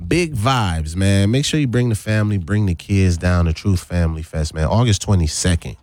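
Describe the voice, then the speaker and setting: A man's voice: deep voice, normal speed, darkish tone, no room sound. A man hosting a radio show in a hyped, slangy style, repeating catchphrases as he banters and makes announcements. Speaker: radio host. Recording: music bed under the voice. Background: music.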